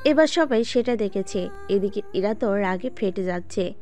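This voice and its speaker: A woman's voice: medium pitch, lively intonation, moderate pace, darkish tone, faint music behind. A woman narrating an audio drama in a plain storytelling manner, speaking directly to her listeners.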